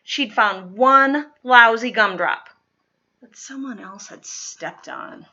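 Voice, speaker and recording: Bright, slow-paced woman reading a storybook. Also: a little high, animated, highs cut off. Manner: dramatic, deliberate storytelling with stressed key words. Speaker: storybook reader. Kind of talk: expressive children's picture book read-aloud.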